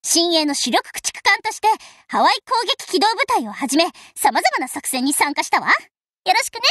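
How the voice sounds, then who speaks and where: A woman's voice: very high-pitched, very animated, normal speed, bright, forceful tone, no echo, studio quality, heavily acted. An actress voicing a spirited, confident teenage warship girl in an anime game, with a perky, cheeky, self-assured delivery. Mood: proud, friendly. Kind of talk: anime game character voice lines.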